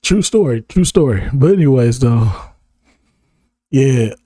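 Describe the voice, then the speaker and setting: A hoarse man of about thirty, talking casually to camera in a rambling, slang-heavy way and laughing often. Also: mid-range voice, animated, measured pace, dark tone. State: hoarse, losing his voice. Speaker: video creator. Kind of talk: casual reminiscing monologue to camera.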